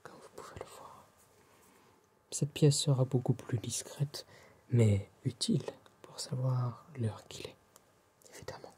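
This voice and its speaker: Slow, whispering Frenchman in an ASMR roleplay. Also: mid-range voice. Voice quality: soft, breathy whispering and hushed speech. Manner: polite, formal, attentive shopkeeper address. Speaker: ASMR roleplayer playing a tailor.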